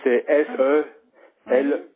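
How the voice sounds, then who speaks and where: A man's voice: mid-range voice, little pitch movement, very slow, slightly rough. A man of sixty talking casually as a customer on a phone call, hesitant and stammering, often repeating his words. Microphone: telephone.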